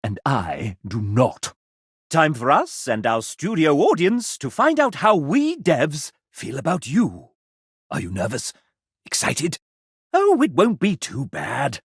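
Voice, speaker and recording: An actor voicing a sassy, flamboyant video game host, speaking in a grandiloquent, arch and melodramatic way. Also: male voice, fairly high pitch, huge pitch swings, slow, husky, no echo, studio quality, full character voice. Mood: indignant, theatrical. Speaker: voice actor playing a game show host character. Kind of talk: theatrical video game character acting as a game show host.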